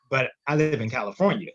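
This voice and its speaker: Lively man on a dull remote line. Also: medium pitch, dry recording. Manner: lively, emphatic storyteller. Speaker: guest entrepreneur. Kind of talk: casual interview storytelling.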